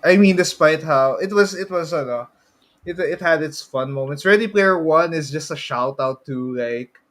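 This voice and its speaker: Male podcast host, slightly high voice, Filipino accent, conversational, drops Tagalog particles into English. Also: moderate pace, fairly bright tone. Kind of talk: casual podcast chat.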